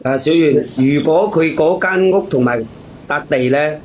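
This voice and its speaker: A man's voice: on the high side, a little slow, a little mellow. A man with a Hong Kong Cantonese accent giving his opinion off the cuff on a call-in show.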